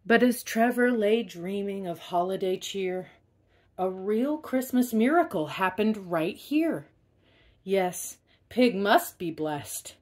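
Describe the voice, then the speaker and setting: A woman reading a children's picture book aloud in an expressive way, stressing key words. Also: medium pitch, takes it slowly. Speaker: storybook reader.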